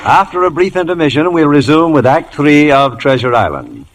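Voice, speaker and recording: A man's voice: fairly high pitch, big pitch swings, moderate pace, background noise. A man hosting a 1950s radio show, delivering scripted announcements and interview questions.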